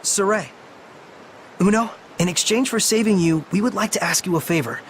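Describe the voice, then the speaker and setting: Earnest, high-voiced young male hero voiced by actor. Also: normal speed, a little hiss or hum, lightly acted. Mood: earnest, polite. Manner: earnest, polite. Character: earnest, kindly young shepherd hero, human youth. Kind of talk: English game voice acting.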